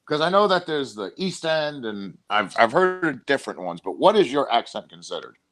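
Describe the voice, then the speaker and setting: A man's voice: medium pitch, very animated, moderate pace, fairly bright tone. A man hosts a casual podcast and rambles through long, loose tangents, swearing freely as he goes. His voice sits in the middle of the male range.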